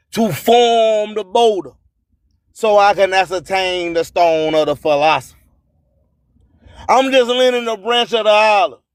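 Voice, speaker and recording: A man's voice: high voice, dry recording, clean studio sound. A man hosting radio with a rhyming spoken-word monologue, proclaiming emphatically and boastfully and drawing out his phrases. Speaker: radio host and music promoter.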